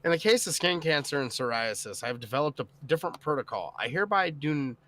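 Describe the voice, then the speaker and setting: A man jokes playfully, his bright, sharp voice swinging widely in pitch. A faint hum of noise sits under his recording. He sounds loose and teasing, as in a casual podcast chat. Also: expressive, fast. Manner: joking, playful.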